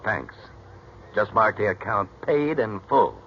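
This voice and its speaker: Suave sardonic playboy, low voice that swoops around, old dull radio drama. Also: male voice, big pitch swings, slow pace, faint music behind, exaggerated voice. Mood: cool, sardonic. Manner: flippant, sardonic. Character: idle, suave, sardonic playboy living off a woman. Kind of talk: 1940s radio drama acting. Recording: dull, noisy 1940s radio recording.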